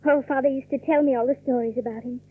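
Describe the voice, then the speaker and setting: A woman's voice: high-pitched, steady tone, quick pace, slightly rough, no room sound. An actress playing a polite, eager, wide-eyed young country girl in a radio drama, earnest and deferential. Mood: wondering. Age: young adult. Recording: dry, muffled telephone-like old radio sound.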